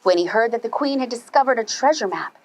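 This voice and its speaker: A woman reading a children's story aloud as a preschool storyteller, animated and putting questions to her young listeners. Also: a little high, fast.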